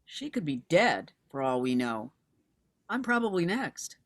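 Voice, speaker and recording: A woman's voice: low voice, very animated, unhurried, full character voice. A woman in a screenplay table read, playing a stubborn, terse widowed mother turned amateur investigator. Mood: agitated, despairing.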